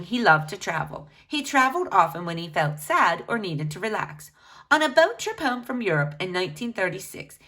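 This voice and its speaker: Female children's book reader, mid-range voice, highly animated intonation, expressive and teacherly narration for young listeners. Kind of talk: read-aloud narration of a biography for children.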